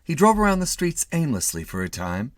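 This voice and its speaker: A man reading a comic short story dramatically, with theatrical, expressive delivery. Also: fairly high pitch, fairly quick, no echo, clean studio sound. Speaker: story reader.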